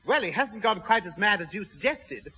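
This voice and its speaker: A man playing a fussy, polite, easily flustered gentleman in a 1960s radio sitcom. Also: sits high, sing-song, moderate pace. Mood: polite, amused. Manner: prim, apologetic, stammering. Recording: muffled, reverberant, noisy old radio recording.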